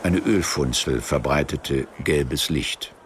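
An actor narrating a literary radio play in a measured, matter-of-fact, sombre way. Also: male voice, rumbling low, a little hiss or hum. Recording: music behind the voice. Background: music.

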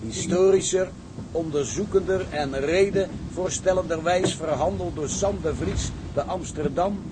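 An actor playing an easygoing young shipmate in a radio play. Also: male voice, high voice, unhurried, noisy background. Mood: reading aloud ponderously. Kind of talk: Dutch radio play acting.

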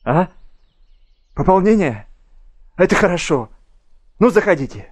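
An actor playing a very young, jittery army lieutenant, stiff, halting and self-important, in an audio drama. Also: male voice, high voice, big pitch swings, husky, exaggerated voice. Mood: distracted. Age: young adult.

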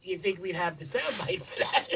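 A man's voice: high voice, expressive, moderate pace, a loud crowd. A man co-hosting a radio show with casual banter. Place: radio studio. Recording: narrow, phone-like radio audio.

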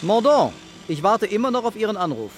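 Firm police commissioner amid street noise. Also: male voice, high-pitched, very animated, a little fast. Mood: impatient. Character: brisk, insistent male police commissioner giving orders. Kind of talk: radio drama acting. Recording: clear traffic and outdoor background noise.

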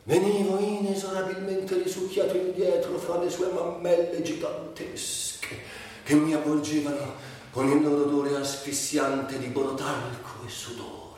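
A man's voice: a little high, flat delivery, a little slow, darkish tone, natural voice. An actor playing a bitter, crude grown son trapped by his mother's hold, in a sardonic, volatile radio monologue. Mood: resentful, resigned. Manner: sardonic, vulgar, volatile delivery with sudden swells. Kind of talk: theatrical radio drama monologue. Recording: very echoey, distant, faint background noise.

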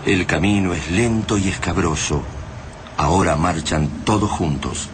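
An actor with an Argentine accent narrating a radio drama war epic in a grave, literary manner. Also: male voice, measured pace. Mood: grave, somber. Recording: noisy rumbling battle ambience behind the voice.

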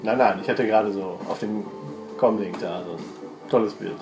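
A man's voice: fairly low pitch, moderate pace. A man chatting casually as a player in a tabletop role-playing game. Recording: echoey room with music underneath. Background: music.

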